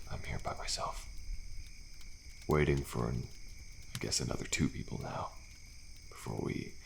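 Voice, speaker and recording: Male actor as weary but friendly horror-game survivor, ASMR roleplay. Extremely low, heavy fry, hushed and reassuring. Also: a little hiss or hum, full character voice. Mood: relaxed, friendly. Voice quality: deep, gravelly. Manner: soft-spoken, hushed, unhurried, reassuring. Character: weary, friendly male survivor of deadly trials, resigned yet hopeful. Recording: faint night insect ambience behind.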